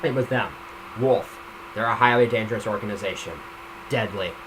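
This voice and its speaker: Guy reading lines of a bossy urgent woman driver in a story, snappy, forceful, noisy mic. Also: lowish voice, normal speed, natural voice. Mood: frustrated, forceful. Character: urgent, hot-tempered female team driver. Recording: noisy home microphone recording.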